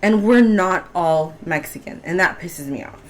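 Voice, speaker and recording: Guest: young Bolivian woman, Spanish-accented English, lowish voice, animated storyteller. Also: moderate pace, a little hiss or hum, poor audio. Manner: animated storytelling, frequent restarts. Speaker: podcast guest. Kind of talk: casual chat.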